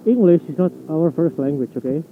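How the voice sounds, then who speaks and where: A man's voice: on the high side, expressive, brisk, a little hiss or hum. Blunt Indonesian-accented young man, tinny sound. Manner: chatty, blunt, swears casually. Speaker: motovlogger. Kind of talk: casual vlog monologue.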